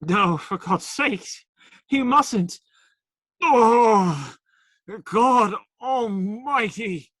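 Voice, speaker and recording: A man's voice: high voice, animated, very slow, a bit bright, dry recording, full character voice. A man acting in a radio play as a cheeky, teasing outlaw given to playful, theatrical banter. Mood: strained, protesting.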